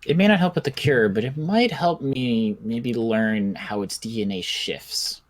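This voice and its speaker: A man playing a measured, curious doctor who studies zombies in an improvised apocalypse game roleplay, talking deliberately. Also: mid-range voice, no echo, natural voice. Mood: businesslike.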